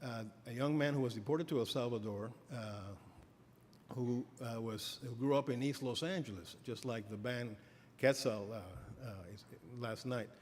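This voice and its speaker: A middle-aged man giving an academic lecture as a professor, halting with many fillers and restarts. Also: mid-range voice, a little hiss or hum. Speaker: professor giving a keynote address. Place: lecture hall. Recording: reverberant hall, faint ambience.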